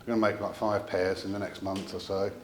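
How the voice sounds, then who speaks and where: A man with a fairly low voice talks through technical equipment informally, as a company representative would. His delivery is halting, often pausing and trailing into asides. There is noticeable noise in the room behind him. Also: little pitch movement. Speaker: audio company representative presenting a product. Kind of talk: informal technical product explanation.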